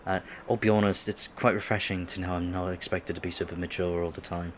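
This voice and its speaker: A man's voice: low-pitched, little pitch movement, brisk, slight background noise, natural voice. A man acting in a roleplay audio drama as an awkward, geeky man on a blind date, stammering, flustered and self-deprecating. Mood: surprised, relieved.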